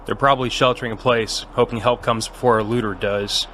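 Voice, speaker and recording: An actor playing a calm, decisive army major giving clipped orders in an audio drama. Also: male voice, mid-range voice, brisk, a little hiss or hum, lightly acted. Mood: calm, commanding. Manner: commanding, clipped. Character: calm, decisive male military major leading a squad.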